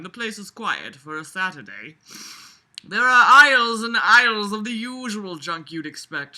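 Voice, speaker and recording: A woman's voice: lowish voice, bright, forceful tone. A woman reading a novel aloud and breaking off for casual, mocking, exasperated commentary full of swearing. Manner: sarcastic, exasperated, foul-mouthed.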